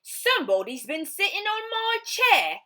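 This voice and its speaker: Woman doing a loud, shouting storybook father. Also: extremely high pitch, sing-song, a little slow, voice pushed up, full character voice. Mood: alarmed. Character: shouting storybook father bear type, man.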